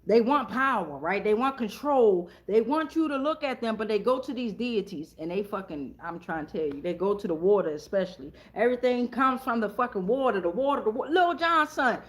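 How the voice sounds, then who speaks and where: High-voiced woman giving a blunt tarot reading. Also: lively intonation, brisk, few pauses. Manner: blunt, profane, slangy. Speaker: tarot reader hosting a reading. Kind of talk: casual, improvised spiritual tarot reading.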